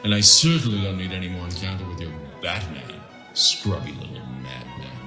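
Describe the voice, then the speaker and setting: A man's voice: deep voice, brisk, reverberant, over music, close to an everyday voice. A man voicing a smug, urbane villainous tycoon who talks in a suave, condescending way in an audio comic drama.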